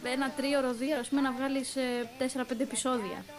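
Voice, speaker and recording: A young woman chats casually in an interview as a voice actor, telling things in an animated, slangy way with English words dropped in. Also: high-pitched. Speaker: voice actor and dubbing singer being interviewed. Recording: voice with music playing clearly behind. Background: music.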